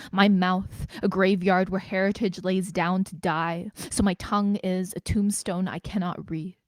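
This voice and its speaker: A woman's voice: medium pitch, darkish tone, no echo. A woman, a spoken word poet performing and introducing her own work. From Canada, Greater Toronto Area.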